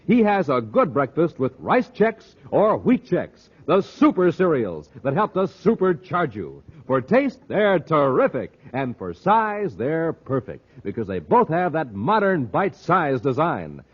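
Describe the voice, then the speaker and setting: Animated 1950s American radio announcer man. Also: on the high side, a little slow, hardly any pauses, slightly rough. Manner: dramatic, punchy salesmanship. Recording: muffled, hissy old radio recording.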